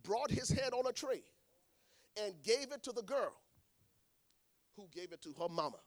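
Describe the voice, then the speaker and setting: A man preaching a sermon to a congregation, swinging between hushed lines and shouted ones. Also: sits high, expressive, moderate pace. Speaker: pastor. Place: church in front of a congregation.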